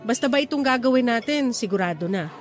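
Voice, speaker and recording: Radio romance role: actress as independent young woman, higher-pitched, reflective and assertive Taglish delivery, music underneath. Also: expressive, fast talker, natural voice. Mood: cautious. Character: independent, frank young single woman weighing old romance. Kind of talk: radio romance drama dialogue.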